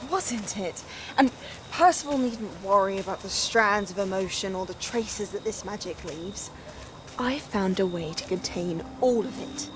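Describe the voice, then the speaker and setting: A woman's voice: medium pitch, unhurried, noisy background, close to an everyday voice. A woman playing an ambitious witch obsessed with forbidden power in a game cutscene, persuasive and self-assured. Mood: proud, assured. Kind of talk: dramatic game cutscene acting.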